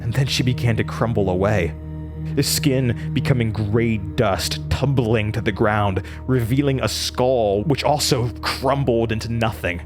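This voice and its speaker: An actor playing a haunted young male college student with an American accent, narrating horror in a deliberate, intense, dramatic way. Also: medium pitch, over music, lightly acted. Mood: haunted, suspenseful. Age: young adult.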